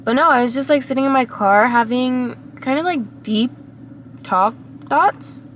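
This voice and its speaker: A young woman with a cold-hoarse, raspy voice, rambling reflectively to her camera while sitting in her car. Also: sits high, a little slow, fairly bright tone, a little hiss or hum. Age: young adult. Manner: rambling, reflective, many fillers. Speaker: vlogger. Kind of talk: casual vlog monologue. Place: inside a parked car.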